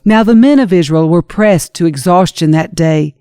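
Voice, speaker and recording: A woman's voice: fairly low pitch, moderate pace, warm tone, clear, resonant tone, no room sound, studio quality. Woman narrating scripture over music. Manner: storytelling, dramatizes quoted speech. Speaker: narrator reading scripture aloud.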